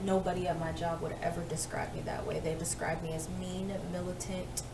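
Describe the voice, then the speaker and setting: A woman's voice: fairly low pitch, little pitch movement, a little fast. A young woman co-hosting a podcast, playful and teasing in casual chat. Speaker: podcast co-host. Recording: echoey room, off-mic, faint noise.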